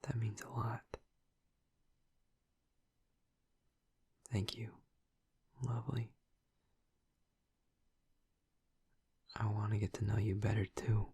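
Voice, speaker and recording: Husky, low-voiced gentle vampire boyfriend, played by a man. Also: little pitch movement, dry recording. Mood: shy, affectionate. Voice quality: husky, breathy, creaky. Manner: soft, halting, breathy, tentative. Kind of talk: intimate audio roleplay, speaking softly to the listener. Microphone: close, dry microphone.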